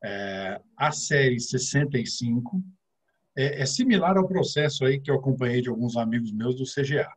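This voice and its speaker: A man's voice: mid-range voice, animated, normal speed, husky. A middle-aged man with a São Paulo interior Brazilian accent, a guest financial advisor explaining things step by step, with many filler sounds. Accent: São Paulo interior Brazilian Portuguese. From interior of São Paulo state, Brazil.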